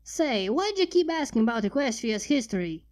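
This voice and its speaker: A spirited little farm filly chatters in a high, bouncy voice with folksy clipped words, as a woman plays her. The pitch leaps around with cartoon-like liveliness. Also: very animated, normal speed, a little mellow. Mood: friendly. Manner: folksy, dropped g's. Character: spirited young farm filly pony, friendly.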